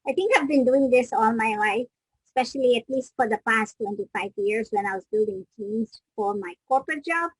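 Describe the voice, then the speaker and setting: Middle-aged woman from Kenya, interview guest, husky, fairly high voice, reflective storytelling, dry close sound. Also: moderate pace, no room sound, low-quality recording. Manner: reflective, restarts sentences mid-thought. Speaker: guest, business coach.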